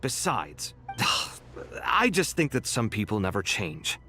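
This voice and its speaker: An actor playing a conscientious young scholar in a video game, expressive and theatrical, quick to grumble. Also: male voice, on the low side, faint music behind, exaggerated voice. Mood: exasperated. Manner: animated, theatrical. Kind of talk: video game dialogue acting.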